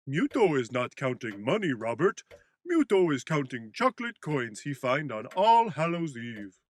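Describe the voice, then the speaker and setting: A man's voice: medium pitch, very animated, moderate pace, slight background noise, lightly acted. A man voicing a naive, cheerful, sweet-toothed creature who speaks of himself in the third person in a comedic audio drama. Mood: cheerful, greedy glee.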